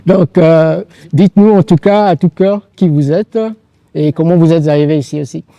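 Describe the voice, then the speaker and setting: Male host, slightly high pitch, relaxed timbre, dry close sound, formal but halting delivery. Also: gravelly, no echo, dull audio. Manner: polite, halting, formal address. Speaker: interview host. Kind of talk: unscripted event hosting.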